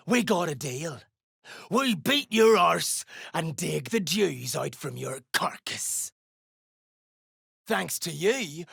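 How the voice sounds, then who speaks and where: Duergar dwarf mercenary, gravelly, sharp-edged, slow delivery, coarse and menacing, greedy, close dry game recording. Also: medium pitch, no echo, exaggerated voice. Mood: angry, threatening. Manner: coarse, swearing, dialect-heavy threats. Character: gruff, foul-mouthed duergar mercenary dwarf, greedy and threatening. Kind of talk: video game character voice acting.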